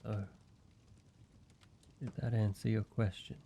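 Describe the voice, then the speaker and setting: A man voicing an ancient vampire in an intimate roleplay audio, speaking in a measured, halting, solemn way, like a weary father telling his past. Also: on the low side, little pitch movement, vocal fry, mellow, slight background noise. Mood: somber, regretful.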